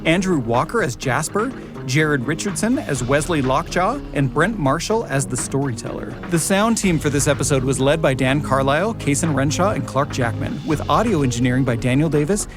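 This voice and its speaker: A middle-aged American man speaking as a podcast co-host in a conversational presenting style. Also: fairly high pitch. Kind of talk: conversational podcast hosting and credit reading. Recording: music playing behind the voice. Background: music.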